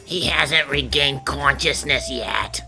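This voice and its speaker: A woman's voice: extremely low pitch, slow, creaky, over music, heavily acted. A woman voicing a serious, level-headed adult woman in a cartoon fan dub, with a dry, matter-of-fact manner. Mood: sombre. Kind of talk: anime-style fan dub acting.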